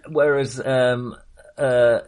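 A man co-hosting a casual film discussion, speaking in a halting, self-correcting way. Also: mid-range voice, steady tone, a little mellow. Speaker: podcast co-host.

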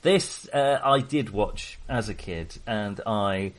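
A man co-hosting a casual film discussion, speaking hesitantly and often halting mid-thought to start again. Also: on the low side. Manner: hesitant, halting, trailing into half-finished thoughts. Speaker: co-host of a film discussion show.

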